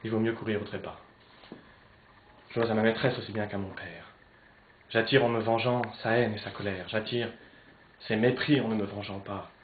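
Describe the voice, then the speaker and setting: A man's voice: fairly low pitch, close to an everyday voice. Man performing anguished young nobleman, roomy sound. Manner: declamatory, swinging from hushed to loud. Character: anguished young Spanish nobleman torn between love and honour. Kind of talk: theatrical recitation of classical French verse monologue. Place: poetry club, live recitation. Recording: roomy, slightly dull live recording, noisy.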